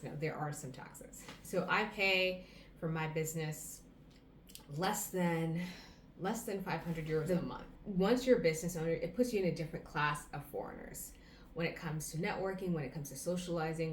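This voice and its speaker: A woman's voice: low-pitched, normal speed. An American woman in her thirties, talking casually to camera and giving earnest advice, listing things off one by one. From United States. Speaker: vlogger. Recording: roomy echo, faint background hum.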